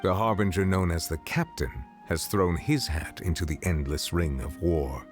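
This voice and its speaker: A man voicing a dignified, reserved ancient dragon statesman in a video game, formal and measured. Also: deep voice, natural voice. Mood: solemn, formal. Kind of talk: video game character acting. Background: faint game music.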